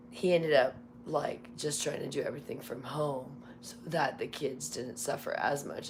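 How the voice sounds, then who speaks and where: Hoarse woman, rambling livestream chat, lots of fillers, faint background noise. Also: rumbling low. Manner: rambling, many fillers and restarts. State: losing her voice, hoarse. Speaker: livestream host.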